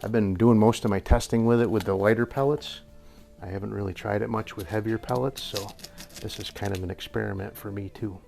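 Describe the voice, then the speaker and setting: An older man with a slightly hoarse voice, rambling through a casual airgun review in a workshop and chuckling often. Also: lowish voice, steady tone, fairly quick, darkish tone, soft background music. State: a little hoarse. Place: indoor workshop with tool wall. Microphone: camera at a few feet.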